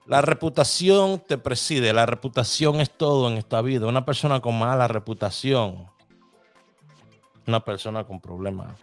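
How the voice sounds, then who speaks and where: A man's voice: medium pitch, normal speed, faint music behind. A man hosting a lottery numbers show, giving emphatic, repetitive life advice to his listeners. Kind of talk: casual motivational talk to listeners.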